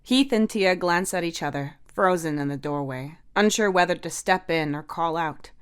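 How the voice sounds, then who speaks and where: A woman's voice: lowish voice, normal speed, smooth. A woman reading scripted story narration as the narrator.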